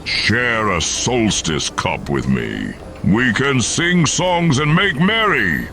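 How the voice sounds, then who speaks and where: Gruff, drawling drunken alien brute. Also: male voice, medium pitch, sing-song, slow pace, sharp tone, voice pushed up, heavily acted. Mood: boisterous, merry. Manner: slurred, hiccuping drunk delivery. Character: huge drunken four-armed alien reveler, jolly and sentimental.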